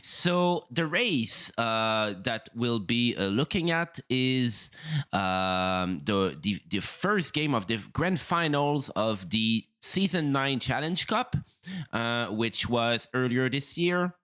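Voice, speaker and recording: Halting man streaming. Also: mid-range voice, lively intonation, fairly bright tone, clear, resonant tone. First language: French. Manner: halting, many fillers and restarts. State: throat still rough after flu. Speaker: streamer and first-time race commentator. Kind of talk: casual livestream talk.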